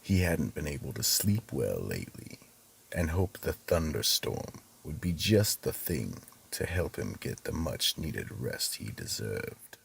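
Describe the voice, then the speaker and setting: A man with a gravelly, husky voice giving deliberate, solemn dramatic narration for a mystery radio play. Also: very deep voice, sing-song.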